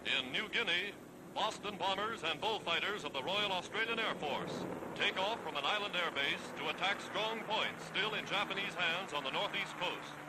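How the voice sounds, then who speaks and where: High-pitched 1940s American newsreel narrator, male. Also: normal speed, background noise. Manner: declamatory, formal, emphatic. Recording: vintage 1940s newsreel soundtrack.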